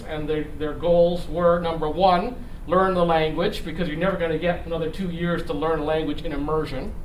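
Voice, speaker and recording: A middle-aged man giving a conversational lecture, halting and restarting phrases as he explains with rhetorical questions. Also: a little high. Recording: echoey room, distant mic, noisy background.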